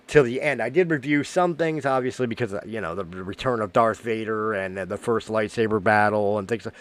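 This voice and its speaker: A man in his forties hosting a casual solo review podcast, chatty and rambling with lots of fillers, while getting over a cold. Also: lowish voice. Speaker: podcast host.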